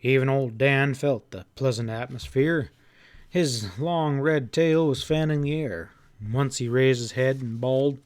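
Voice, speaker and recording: A man narrates a classic novel in the first person. His mid-pitched voice is folksy and expressive, leaning into the drama of the telling. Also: a little slow. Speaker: audiobook narrator.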